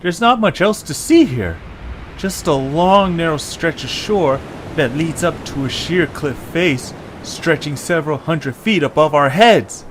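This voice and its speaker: A man playing an excitable, good-hearted young creature adventurer who grumbles and exclaims in an amateur audio drama. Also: on the high side, measured pace, a little hiss or hum. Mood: grumbling.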